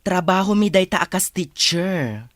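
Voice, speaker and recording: A woman's voice: fairly low pitch, animated, dry recording, studio quality. A woman voicing a kind, earnest young male schoolteacher in a village radio drama, polite and concerned. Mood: polite, curious. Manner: polite, unhurried.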